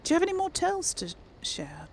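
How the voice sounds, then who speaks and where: A woman's voice: extremely high pitch, huge pitch swings, quick pace, a little hiss or hum. A woman hosting a casual game playthrough, chatting and thinking aloud hesitantly as she role-plays dialogue. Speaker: gaming host and role-player.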